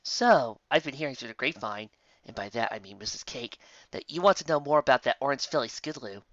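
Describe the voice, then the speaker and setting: A narrator voicing a teasing, flirtatious and smug unicorn mare with a sly, drawn-out manner, on a slightly dull recording. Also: mid-range voice, animated, a bit bright, no echo, natural voice. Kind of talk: fan fiction character dialogue.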